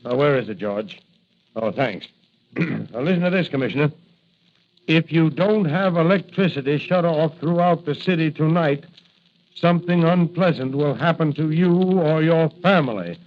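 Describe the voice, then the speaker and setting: A stern, proud mayor from a 1940s radio drama, acted by a man. He speaks in a slightly high male voice with deliberate, official authority. The sound is dull, like an old broadcast. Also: natural voice. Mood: firm, concerned. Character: stern, proud city mayor, a protective widowed father. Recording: muffled vintage radio recording.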